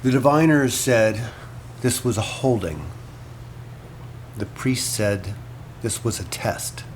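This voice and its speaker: An actor playing a classics lecturer in an audio drama, talking and reading in a measured, unshowy way. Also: male voice, medium pitch, slight background noise, natural voice. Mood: solemn, measured. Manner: casual and halting when talking, solemn when reading. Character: hesitant male classics lecturer reading an ancient epic aloud. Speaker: university lecturer.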